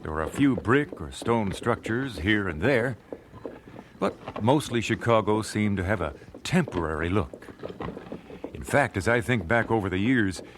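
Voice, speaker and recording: An actor playing a thoughtful, genteel American singer recalling his life in a radio drama, measured and well-spoken. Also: on the low side, expressive. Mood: reflective. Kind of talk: radio drama first-person narration. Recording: noisy old radio drama recording.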